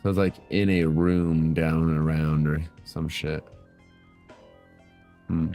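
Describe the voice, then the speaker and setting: Male gamer commentator: very deep, dark tone, casual profane slang, faint music underneath. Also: flat delivery, takes it slowly. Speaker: game streamer.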